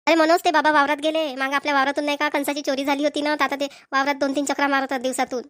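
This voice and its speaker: A woman plays an affectionate cartoon village woman with a high voice and a rural Maharashtrian accent. She talks in a homely, chatty way, full of fondness for her family. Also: flat delivery, rapid-fire, fairly bright tone. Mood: fond, chatty. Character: affectionate village women, doting grandmother and dutiful daughter-in-law. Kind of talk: Marathi family cartoon voice acting.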